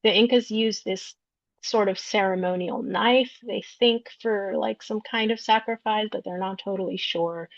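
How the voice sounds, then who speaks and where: Woman artist from Peru presenting her work, gravelly fry, muffled dry lo-fi sound. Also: mid-range voice, fairly even intonation, no echo. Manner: explanatory, unhurried, searching for words. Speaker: visual artist. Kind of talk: artist talk walking through slides of her work. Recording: dull lo-fi recording, dry.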